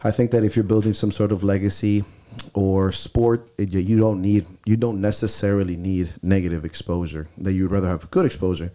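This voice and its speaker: Stuffy-nosed guy on a podcast mic, lowish mellow voice, blunt, cusses a lot. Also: fairly even intonation, fairly quick. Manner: blunt, swears freely, opinionated. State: stuffy nose. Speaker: podcast co-host. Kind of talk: casual podcast chat. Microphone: close podcast mic.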